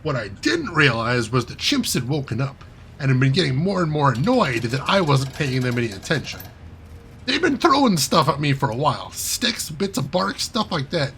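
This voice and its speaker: A man's voice: mid-range voice, sing-song, moderate pace, a bit bright, slight background noise. A man reading aloud and voicing a wry male hacker character who tells a self-deprecating heist story in a chatty way. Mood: rueful.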